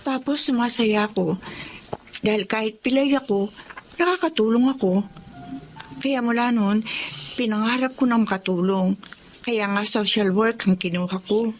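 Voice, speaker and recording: A woman's voice: on the high side, normal speed, long pauses, soft background music, lightly acted, low-quality recording. A woman acting a modest, caring, reserved social worker in a Filipino radio drama, with a Filipino accent. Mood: sincere. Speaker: voice actor.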